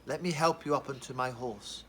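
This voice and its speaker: A man voicing a gentle, kind stranger who helps a wounded traveler in a Bible story for children. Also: normal speed, a little hiss or hum, natural voice. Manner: soft, gentle, low-spoken.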